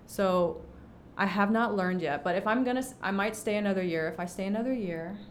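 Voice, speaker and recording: Chatty young woman with faint rumble. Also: brisk. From Egypt. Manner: chatty, laughing, lots of fillers like "like" and "um". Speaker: podcast guest. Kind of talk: casual interview chat.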